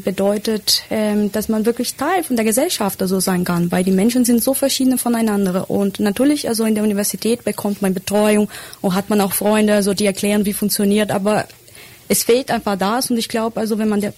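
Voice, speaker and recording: A woman's voice: medium pitch, a little mellow. A young woman, a university student, reflecting with lots of fillers in an interview. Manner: hesitant, many fillers.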